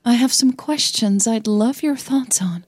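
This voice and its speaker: A woman's voice: fairly high pitch, measured pace, a little mellow, no echo, clean studio sound, pitched above the performer's own voice. A woman playing a polite, grateful young woman in an audio drama.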